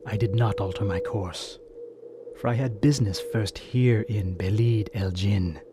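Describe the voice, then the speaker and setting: A man playing a coldly courteous, gloating bandit chief in an audio drama, with florid, formal and measured speech. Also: lowish voice, very noisy, lightly acted. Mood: proud, assured. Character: gloating bandit chief, scarred former slave trader, coldly courteous villain.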